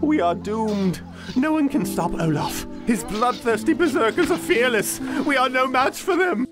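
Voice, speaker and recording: Fretful frightened peasant. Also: male voice, extremely high pitch, lively intonation, moderate pace, music in the background. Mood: fearful. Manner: anxious, fretful. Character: fearful male peasant. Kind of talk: video game cutscene acting.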